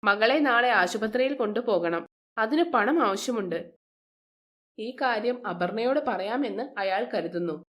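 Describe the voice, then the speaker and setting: A woman's voice: fairly high pitch, lively intonation, a little slow, a bit bright. A woman narrator telling a scripted moral story.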